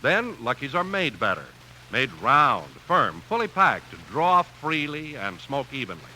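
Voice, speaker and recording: An American male announcer with a very bright, forceful, highish voice. He sells with lively, emphatic swings in the style of 1950s radio, over faint recording noise. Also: unhurried. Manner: emphatic, polished sales delivery. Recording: faintly noisy old radio broadcast.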